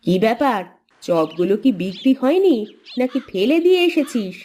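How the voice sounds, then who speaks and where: A woman's voice: on the high side, sing-song, normal speed, warm tone. A woman voicing a practical, encouraging village housewife in a cartoon moral story, with an earnest, coaxing delivery. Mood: brisk.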